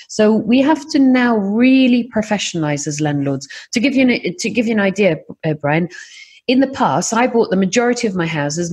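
Husky-voiced woman with an English accent. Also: mid-range voice. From Scotland. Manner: lively, animated storytelling with laughs. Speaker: property investor guest. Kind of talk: podcast interview.

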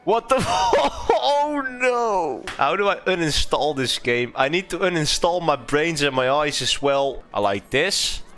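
Guy streaming a game, kinda high bright voice, swears a lot, quick amused reactions. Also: sing-song. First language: Dutch. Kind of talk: casual gameplay commentary.

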